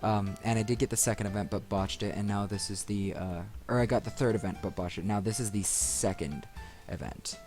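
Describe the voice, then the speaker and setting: A man's voice: fairly low pitch, fairly quick, few pauses, darkish tone. A man giving casual, rambling commentary as he plays a video game, recorded on a desk microphone. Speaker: let's play host. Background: game music.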